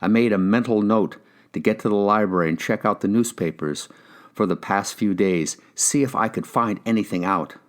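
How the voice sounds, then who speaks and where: A man with a low voice narrates a crime novel in the first person. It is a scripted audiobook reading by an actor. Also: normal speed.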